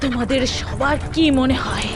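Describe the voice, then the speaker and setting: A woman voicing an evil, menacing vulture witch in a cartoon, gloating and vengeful in a slow, deliberate way. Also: high-pitched, close to an everyday voice. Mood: vengeful fury.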